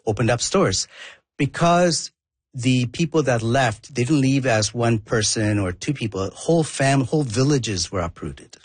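Interview guest: man from southern India, Tamil first language, husky low voice, reflective and meandering, dry close sound. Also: no room sound. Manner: reflective, rambling with restarts. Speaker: documentary filmmaker guest. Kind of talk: conversational interview.

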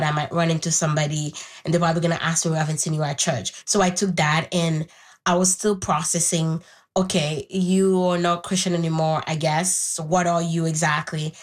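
A young woman in her twenties, raised in Haiti with Haitian Creole as her first language, speaks in a fairly low voice. She talks reflectively about personal matters, pausing often and restarting her sentences as she works through her thoughts. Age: 20s. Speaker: interview guest.

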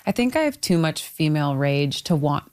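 A woman with a low-set voice shares her views in a casual discussion. She is candid and reflective, leaning on key words for emphasis as she thinks aloud. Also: big pitch swings, moderate pace, darkish tone. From Toronto, Canada. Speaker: book club member on a discussion panel.

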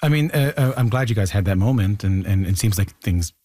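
A male host asks quick, eager follow-up questions, often tripping over his first words. He talks fast. The recording is dry and close-miked. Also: lowish voice, lively intonation, warm tone, dry recording. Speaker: talk show host. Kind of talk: conversational interview.

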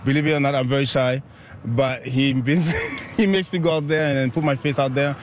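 A man's voice: mid-range voice, steady tone. Upbeat man from Haiti, faint traffic behind. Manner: upbeat, laughs often, quick bursts. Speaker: bike ride organizer. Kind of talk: casual interview.

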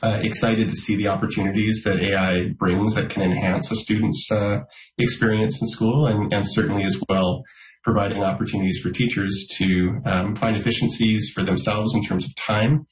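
A man working for a school district, giving measured interview answers with many "uh"s and "um"s. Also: lowish voice, steady tone, roomy sound, low-quality recording. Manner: measured, filler-heavy explanations.

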